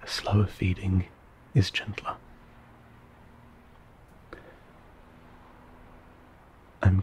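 A man playing a gentle, courteous old vampire in an intimate audio roleplay, speaking formally in hushed, soothing, measured tones. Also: low-pitched, a little hiss or hum. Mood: gentle, reassuring. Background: ambient sound effects.

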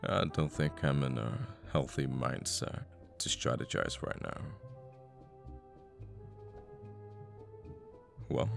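An actor playing a conflicted, protective assassin boyfriend in an intimate ASMR audio roleplay, speaking tenderly and haltingly with pauses. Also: male voice, a bass voice, vocal fry, heavily acted. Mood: weary, resolute.

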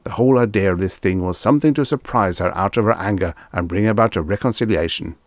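A man's voice: fairly low pitch, quick pace, no echo, clean studio sound, lightly acted. A man voicing a rich, impatient bully in an audiobook story, with a curt, growling manner. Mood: angry.

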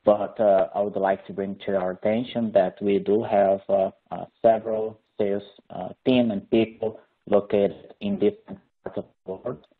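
Brazilian-accented engineer in his thirties, lowish voice, halting friendly delivery, tinny call audio. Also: steady tone, slightly rough. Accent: Brazilian Portuguese. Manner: hesitant, many "uh" fillers, friendly and explanatory. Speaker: engineer presenting a webinar. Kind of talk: technical webinar presentation. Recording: phone-like narrowband audio.

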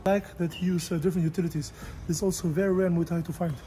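High-voiced joking man in a gym vlog. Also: steady tone, rapid-fire, mellow, slight background noise. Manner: blunt, joking, non-native English. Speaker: vlogger and Muay Thai coach. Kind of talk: casual vlog talk. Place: open-air Muay Thai gym.

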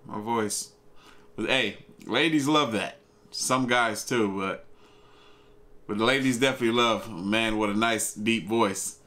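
A man's voice: lowish voice, a little slow, sharp tone. A man, reacting casually to clips at home, chuckling and talking to viewers. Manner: laid-back, chuckling, talks to viewers. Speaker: reaction video host. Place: home room with bookshelves and gaming chair.

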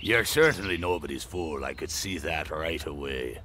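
An actor playing a shady, flattering old seaman in a video game, with a sly, ingratiating manner. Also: very deep voice, moderate pace, a little hiss or hum, heavily acted. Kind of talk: video game dialogue acting.

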